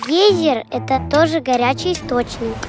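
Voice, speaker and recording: A woman voices a young cartoon pupil with a high voice, calm and gently correcting. The delivery is plain and instructive, as in a children's learning show, with music clearly playing behind. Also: moderate pace, lightly acted. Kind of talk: children's educational cartoon acting.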